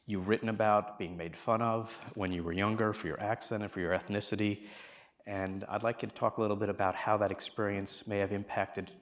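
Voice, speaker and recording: A man with a fairly low voice hosts a live talk. He introduces his guest formally and asks questions in a measured way, with the event recorded before an audience. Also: fairly even intonation. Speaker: interview host and moderator.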